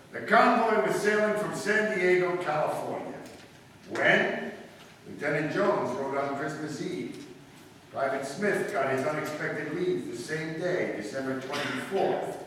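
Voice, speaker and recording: A man playing a clever male amateur detective in a live old-time radio drama, crisp and lecturing, building to emphatic points. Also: on the high side, fairly bright tone. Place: live stage performance in a hall. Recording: echoey hall from far off, faint hiss.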